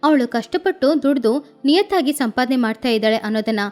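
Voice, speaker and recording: A woman narrating a children's story in an animated storytelling style. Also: high voice, a little fast.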